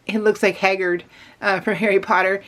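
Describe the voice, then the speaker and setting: A woman's voice: medium pitch, little pitch movement, normal speed, a bit bright. Middle-aged woman chatting, rambling and emphatic. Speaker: craft vlogger. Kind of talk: casual chat.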